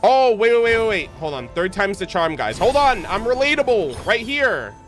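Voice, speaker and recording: A man's voice: high voice, very animated, normal speed, fairly bright tone. A man doing casual, rambling livestream game commentary, informal and stumbling a little when he reads aloud. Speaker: game streamer. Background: video game music.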